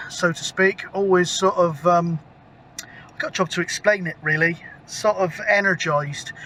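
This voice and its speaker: High, bright-voiced British man, chatty. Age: 50s. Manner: chatty, rambling, mild swearing. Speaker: CB radio hobbyist, vlogger. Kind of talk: casual rambling vlog chat. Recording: outdoor camera mic with clear background noise.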